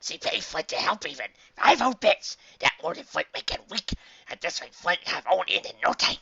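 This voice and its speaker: Timid, high-strung simple dog creature. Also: male voice, normal speed, creaky, husky, dull audio, full character voice. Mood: delighted. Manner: broken third-person speech, cowering and excitable.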